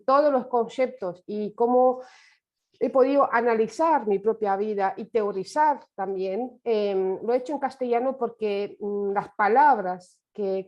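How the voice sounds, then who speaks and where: A woman whose first language is Romanian gives an online lecture in a reflective manner, pausing and restarting often. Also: a little high, muffled recording. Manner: reflective, with many hesitations and restarts. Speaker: course speaker. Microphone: video call.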